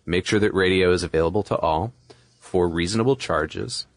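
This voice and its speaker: A man's voice: low voice, normal speed, no echo. An American man co-hosting a podcast, explaining things in a casual, conversational way. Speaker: podcast co-host.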